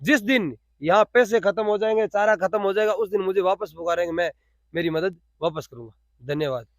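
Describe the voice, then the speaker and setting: A man's voice: sits high, a little fast, no room sound, studio quality. A man with a Rajasthani accent explaining things in spontaneous interview answers, repeating phrases for emphasis. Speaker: interviewee, cow shelter volunteer.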